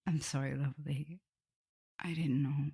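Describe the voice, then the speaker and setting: A woman playing a tender, guilt-ridden vampire mistress in an ASMR roleplay, speaking softly and haltingly, often in whispers. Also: sits low, fairly even intonation, exaggerated voice. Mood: remorseful, hushed. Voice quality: soft, breathy, often whispering. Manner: halting, hesitant delivery. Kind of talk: ASMR roleplay acting, intimate address to listener.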